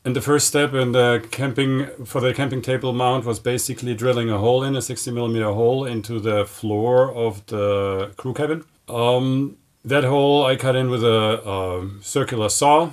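A man's voice: medium pitch, fairly even intonation, normal speed, hardly any pauses. A man in his forties, a native German speaker, talking casually to camera inside a truck cab, explaining his build with many ums and uhs. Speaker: vlogger building a camper. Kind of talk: casual DIY update to camera.

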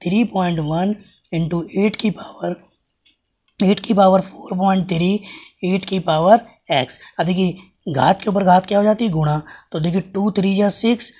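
A man teaching a maths lesson with a hoarse voice that is giving out. He works through each step patiently and keeps asking the class what comes next. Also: sits high, unhurried, dark tone. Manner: patient, explains with repeated rhetorical questions. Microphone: hands writing on paper, camera over the page.

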